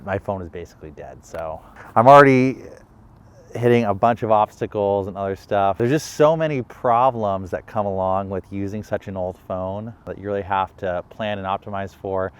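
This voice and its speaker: A man's voice: lowish voice, smooth. A man talking casually to camera as a vlogger, thinking aloud with hesitant restarts. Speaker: video creator vlogging a filming challenge. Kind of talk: casual vlog talk to camera.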